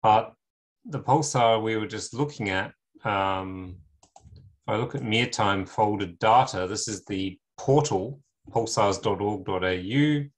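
A middle-aged man lecturing in an informal technical tutorial over a video call, explaining things step by step with pauses. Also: lowish voice. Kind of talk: informal technical tutorial narrating slides and screen demo.

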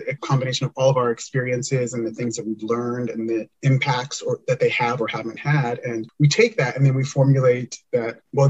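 A man's voice: medium pitch, a little mellow, slightly rough, muffled recording. A man guest on a podcast, chatting casually and enthusiastically about his creative work, laughing often. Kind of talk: casual interview chat.

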